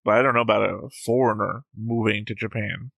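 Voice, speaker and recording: A man's voice: lowish voice, steady tone, fairly quick, creaky, a bit bright, no echo. A man chatting casually as a host of a reaction show. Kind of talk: casual chat.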